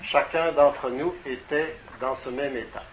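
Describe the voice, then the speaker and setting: A man's voice: mid-range voice, fairly even intonation, a little slow, slight background noise, low-quality recording. A man translating a spiritual talk into French, hesitant and often stopping on fillers. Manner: hesitant, frequent fillers, repeats words. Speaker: interpreter. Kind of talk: consecutive interpretation into French.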